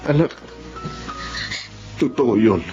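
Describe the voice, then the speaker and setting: An actor playing a wronged but forgiving, devoted middle-aged father in a Filipino radio drama, tender and heartfelt, with dramatic pauses and a Filipino accent. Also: mid-range voice, expressive, muffled recording. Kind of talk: Filipino radio drama acting and first-person narration. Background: dramatic music.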